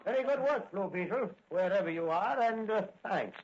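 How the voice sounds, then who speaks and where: An actor playing a gruff, blustering police officer with emphatic delivery in 1940s radio drama style. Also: male voice, high-pitched, animated, moderate pace, heavily acted. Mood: commanding, grudging. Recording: dull lo-fi vintage radio recording.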